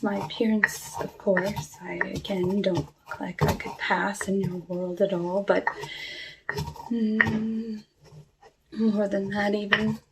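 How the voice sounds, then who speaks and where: A woman acts out a cursed witch of the woods in a hushed ASMR roleplay. The witch speaks very slowly and softly, slipping into whispers, with a wistful, genteel and quietly sinister air. Loud noise, clicks and clinking dishes sit around the voice. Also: mid-range voice, close to an everyday voice. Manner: soft, unhurried, wistful, genteel, drawn-out phrasing. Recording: noisy, with clicks and dish clatter.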